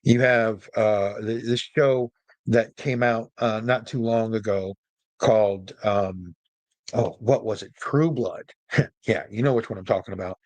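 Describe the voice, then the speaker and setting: A man's voice: on the low side, fairly even intonation, a little slow, dry recording. A man giving casual solo commentary as a podcast host, informal and opinionated, with joking asides.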